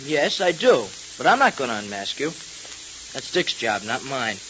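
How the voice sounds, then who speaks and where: A man's voice: medium pitch, big pitch swings, normal speed, a bit bright. A male actor playing an earnest, law-abiding boy sidekick in old radio drama style. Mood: firm, earnest. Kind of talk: 1930s radio acting. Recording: hissy, muffled 1930s radio transcription.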